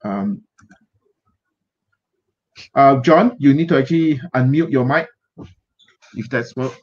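A man's voice: mid-range voice, expressive, takes it slowly, a little mellow. Malaysian man hosting on muffled call audio. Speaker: host. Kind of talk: casual livestream hosting. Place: indoor room, on a video call. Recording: dull, lo-fi video-call audio.

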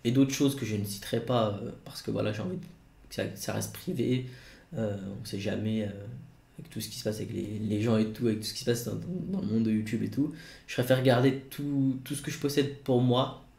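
Jeune homme bavard, salle qui résonne. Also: male voice, medium pitch, fairly even intonation, brisk, creaky, mellow, reverberant. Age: 20s. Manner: rambling, many fillers and restarts. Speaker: YouTuber. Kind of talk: casual vlog to camera. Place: home studio room.

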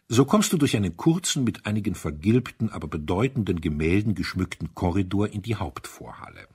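Low, husky male storyteller. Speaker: audiobook narrator. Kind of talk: scripted literary narration.

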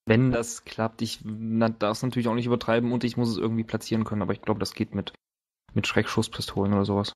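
A man's voice: lowish voice, little pitch movement, quick pace, a little mellow. A man talking casually and colloquially as a tabletop game master.

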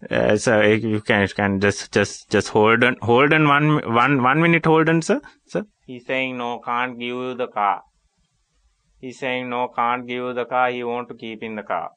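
A man playing a bumbling, apologetic car company agent on a prank phone call, halting and repeating himself deferentially. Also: medium pitch, fairly bright tone, no echo, close to an everyday voice. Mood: evasive, wheedling. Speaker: radio prank caller and show host.

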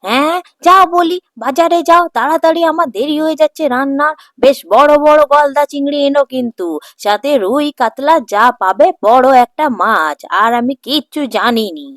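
A woman's voice: high voice, animated, moderate pace, fairly bright tone, dry recording. A woman voicing an exasperated, scolding older village wife and mother in a cartoon folk tale, with a dramatic, nagging manner. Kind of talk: Bengali cartoon fairy-tale dialogue.

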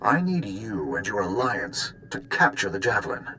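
A man's voice: on the low side, big pitch swings, moderate pace, pushed and bright. An actor playing a grave, commanding older statesman in a video game, formal and authoritative. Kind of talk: video game cutscene acting.

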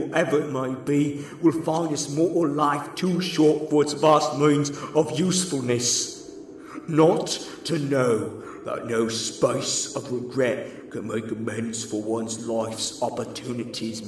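A man playing a tormented, remorseful ghost in a radio drama, with anguished, theatrical delivery that drifts into breathy hissing. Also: mid-range voice, close to an everyday voice. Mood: anguished lament. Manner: slow, anguished declamation, drifting into breathy hiss. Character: tormented ghost of a dead businessman, remorseful, ominous. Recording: echoing, distant, heavy background noise.

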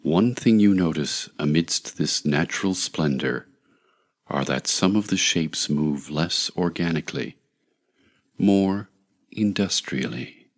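A man narrating a scripted guided meditation in a measured, soothing, deliberate way, with long pauses. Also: sits low, lively intonation.

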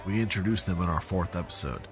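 A man playing an earnest podcast creator in a scripted audio drama, measured and explanatory. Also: deep voice. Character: earnest male podcast creator being grilled by detectives. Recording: music under the voice.

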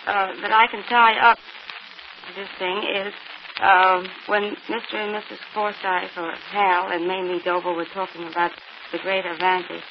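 A woman's voice: mid-range voice, flat delivery, measured pace. A woman guest on 1940s radio, reasoning aloud in a hesitant, informal way. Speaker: guest panelist, magazine editor. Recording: noisy dull old radio broadcast.

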